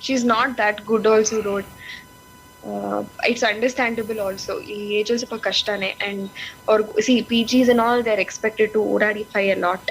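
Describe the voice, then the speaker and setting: A woman's voice: mid-range voice, gravelly, poor audio. A woman in her twenties with a Kannada-accented Indian voice, chatting casually and telling stories in a rambling way. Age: 20s. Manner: rambling storytelling. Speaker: medical intern chatting on a game stream. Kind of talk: casual bilingual chat.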